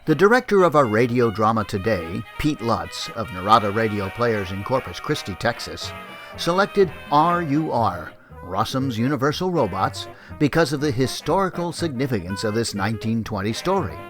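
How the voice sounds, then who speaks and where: A man serves as announcer, reading scripted narration with deliberate emphasis. His pitch is average for a man and music plays clearly underneath. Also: big pitch swings. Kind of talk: scripted narration, show introduction.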